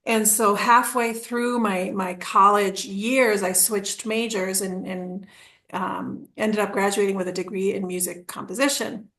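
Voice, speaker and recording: A woman's voice: mid-range voice, fairly even intonation, a little slow, a bit bright. A woman in her forties from Argentina, a podcast guest telling her story in a rambling, casual way. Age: 40s. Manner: rambling, restarts, acts out quoted dialogue with laughs.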